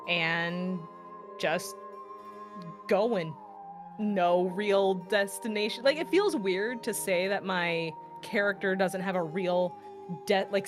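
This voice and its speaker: A woman talking casually and at length as a tabletop player, in an informal, wordy way. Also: medium pitch. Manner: wordy, rambling, informal swearing. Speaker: tabletop role-playing player. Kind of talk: casual chat about their character's backstory. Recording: music playing behind the voice. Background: clear background music.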